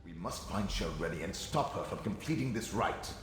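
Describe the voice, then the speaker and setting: A man's voice: deep voice, animated, moderate pace. An actor playing a vigilant, mystical male ally with arcane powers in a dramatic video game. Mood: alarmed. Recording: echoey hall, loud music behind.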